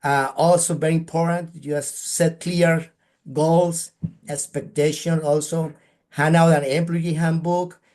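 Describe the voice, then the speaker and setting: A man's voice: a little high, flat delivery, a little slow, darkish tone. Colombian-accented man giving practical advice. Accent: Colombian Spanish. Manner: earnest, advice-giving, frequent "right?" tags. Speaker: guest speaker. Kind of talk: webinar presentation.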